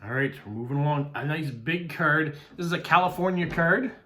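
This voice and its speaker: A man's voice: on the high side, fairly quick. Middle-aged Canadian man, casual unboxing vlogger, chatty, filler-heavy delivery. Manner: chatty, warm thanks, frequent "uh" fillers. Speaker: postcard vlogger and mail carrier.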